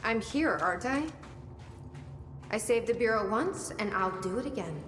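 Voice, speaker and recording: An actress playing a determined, guarded young director of a secret agency, dry and matter-of-fact in game dialogue. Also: female voice, mid-range voice, lively intonation, normal speed, fairly bright tone, close to an everyday voice. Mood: resolute. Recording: echoey hall, long reverb, faint noise.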